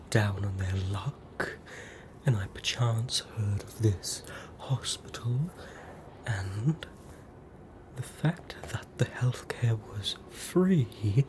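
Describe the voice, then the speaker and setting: Aussie woman doing a fussy polite lady ghost w australian accent, whispery asmr, real slow, quaint old timey wording. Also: extremely low pitch, full character voice. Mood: polite, flustered. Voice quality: breathy whisper. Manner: quaint old-fashioned wording, hesitant, apologetic. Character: fussy, polite female ghost haunting a hospital bed. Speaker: ASMR performer.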